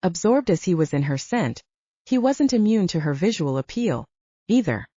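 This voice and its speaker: A woman's voice: on the low side, big pitch swings, moderate pace, warm tone, no echo. A woman reading a scripted story as an audiobook narrator, in slightly dull audio.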